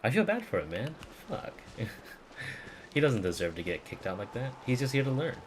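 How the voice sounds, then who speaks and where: A man's voice: medium pitch, very animated, normal speed, noisy background. A man giving casual, muttered game playthrough commentary, with plenty of swearing. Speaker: game streamer.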